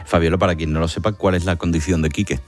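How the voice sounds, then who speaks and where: A woman hosting an interview, asking gentle questions and murmuring along attentively. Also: a bass voice, fairly even intonation, quick pace, faint music behind. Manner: attentive, encouraging, frequent murmured backchannels. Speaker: host.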